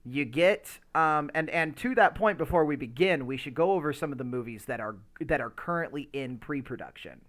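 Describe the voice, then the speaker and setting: A man's voice: on the high side, expressive, moderate pace, hardly any pauses, a bit bright. A man co-hosting a podcast in a casual discussion, talking in a rambling, hesitant way with lots of filler words. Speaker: podcast co-host.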